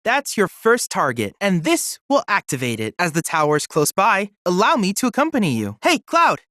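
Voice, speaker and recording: A teenage cyborg researcher from a video game, courteous and chipper. His pitch moves with lively swings while his wording stays formal and exact. The recording is close and dry, with no room sound. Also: normal speed, dry recording, full character voice. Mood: eager, polite. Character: polite, eager teenage cyborg research boy. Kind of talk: scripted video game character dialogue.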